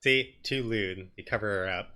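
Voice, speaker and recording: A man's voice: on the low side, normal speed, sharp tone. A man giving casual, informal commentary as he streams a video game. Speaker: let's play streamer.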